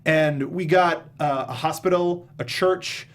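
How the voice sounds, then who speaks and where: A man's voice: a little high, fairly even intonation, takes it slowly. A man hosting a casual panel discussion reviewing movies. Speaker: host.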